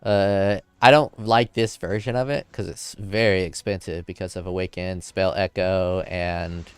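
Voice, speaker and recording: Laid-back deep-voiced male streamer. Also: steady tone, a little slow, clean tone, no room sound. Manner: laid-back, rambling, joking. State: a little drunk. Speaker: game streamer. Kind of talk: casual livestream chat, reading chat aloud. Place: home desk. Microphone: desk mic in front of keyboard.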